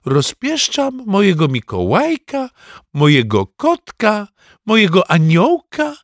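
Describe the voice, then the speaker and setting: A man's voice: high-pitched, wildly swooping pitch, slow, no room sound, clean studio sound, voice pushed up, heavily acted. A man voicing a doting, fussy old grandmother in a storybook reading, gushing and cooing.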